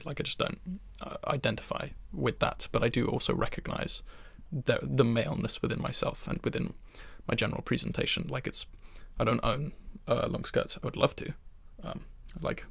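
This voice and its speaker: A soft-spoken young man of twenty-one chatting ASMR-style from his bedroom, rambling and poking fun at himself. His voice is heavy with fry and breathy, sitting mid-range for a man. It is recorded close and bone dry. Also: mid-range voice, dry recording. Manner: rambling, self-deprecating, with soft laughs. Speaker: ASMR creator.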